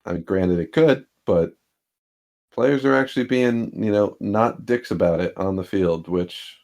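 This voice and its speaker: A man's voice: lowish voice, a little slow, gravelly, darkish tone. A man co-hosting a casual sports podcast, laid-back with dry humor and a habit of chuckling as he talks. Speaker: podcast co-host.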